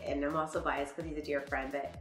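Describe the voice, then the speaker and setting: Woman in her 30s, low pitch, chatty interview guest, heard from a distance in an echoey room. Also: level tone, a little fast, fairly bright tone. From Dallas, USA. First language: Spanish. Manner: chatty, laughs often, trails off mid-sentence. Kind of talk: casual interview chat. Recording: echoey, from far off, faint music.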